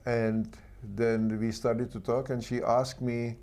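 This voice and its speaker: Czech-accented male guest, earnest storyteller, non-native phrasing, average pitch. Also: little pitch movement, slow, darkish tone. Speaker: guest author. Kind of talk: interview storytelling.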